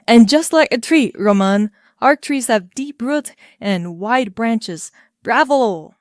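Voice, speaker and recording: A man voicing a graceful female spirit of nature in a gentle, serene way. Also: very high voice, animated, slow pace, voice pushed up, heavily acted.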